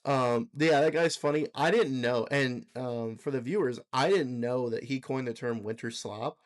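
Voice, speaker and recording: A hoarse young man recovering from a sickness, co-hosting a casual motorsport podcast in a rambling, filler-heavy way with frequent laughs. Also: medium pitch, normal speed. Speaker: podcast co-host.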